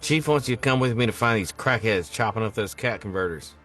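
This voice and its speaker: A man's voice: fairly low pitch, a little fast, fairly bright tone, faint music behind, close to an everyday voice. A man playing a cocky, teasing small-town guy in a film script table read.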